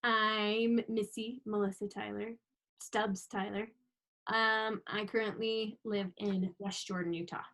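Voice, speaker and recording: A woman's voice: medium pitch, little pitch movement, slow, fairly bright tone. A woman introducing herself in a hesitant, teasing way. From Idaho, USA. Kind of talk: casual team introduction.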